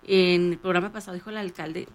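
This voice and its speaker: A woman's voice: fairly low pitch, fairly even intonation, fast, a little hiss or hum. A woman with a northern Mexican accent, chatting casually and agreeably as a radio co-host.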